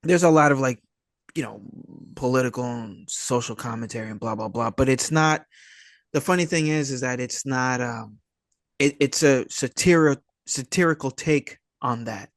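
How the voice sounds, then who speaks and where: A man's voice: mid-range voice, dry recording. Laid-back man, very dry close sound. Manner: rambling, halting, laid-back dude talk. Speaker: interview guest, indie filmmaker and writer. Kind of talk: casual, conversational interview answers.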